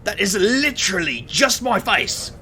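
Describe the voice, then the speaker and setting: A man's voice: high-pitched, sing-song, measured pace, bright, forceful tone, slight background noise, heavily acted. A man playing an anxious, caring, easily exasperated young man in an audio drama. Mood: indignant.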